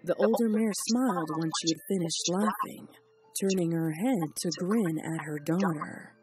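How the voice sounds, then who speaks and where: A narrator reading a dark fan story in a steady, even telling. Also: mid-range voice, normal speed, a little hiss or hum. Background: music.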